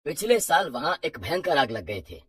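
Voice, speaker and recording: Woman doing a low-voiced, brisk male broker. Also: big pitch swings, husky, dry recording, studio quality, heavily acted. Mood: cautioning. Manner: matter-of-fact, bossy, dismissive. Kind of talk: animated horror story dialogue.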